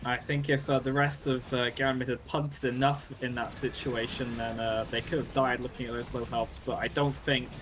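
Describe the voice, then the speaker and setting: A man's voice: mid-range voice, level tone, moderate pace, few pauses, background noise. A man casting a live online game match, talking casually with many fillers and a wry, joking edge. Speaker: esports caster.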